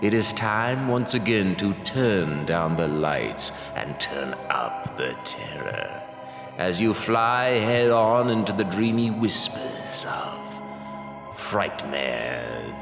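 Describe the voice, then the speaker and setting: Pompous soulless horror announcer, deep, dramatically varied pitch, ornate gothic radio delivery. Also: male voice, big pitch swings, slow, exaggerated voice. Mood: ominous, showy. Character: soulless undead announcer, pompous, literal-minded, darkly grandiose. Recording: echoey long reverb, music underneath.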